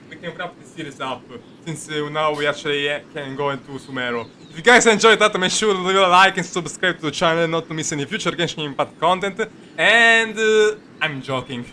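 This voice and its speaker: Very bright-voiced man doing gaming commentary. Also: on the high side, slight background noise. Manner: halting, rambling, jokey. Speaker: gaming video host. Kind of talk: casual playthrough commentary. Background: game music.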